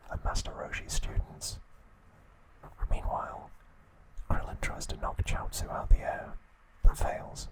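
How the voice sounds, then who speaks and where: A man narrating in a soft, soothing whisper, reading scripted ASMR in a measured way as a channel host. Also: low-quality recording. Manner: measured, soothing bedtime delivery.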